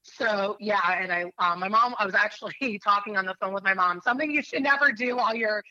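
A woman's voice: mid-range voice, fairly quick, sharp tone, no room sound, highs cut off. A woman in her thirties from New Hampshire, an attorney telling her faith story in an interview, earnest and rambling with many fillers.

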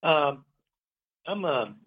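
A middle-aged man from Puerto Rico, a business owner, chatting as an interview guest, halting with many fillers and pauses. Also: on the high side, lively intonation, husky. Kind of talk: casual interview chat. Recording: tinny phone line.

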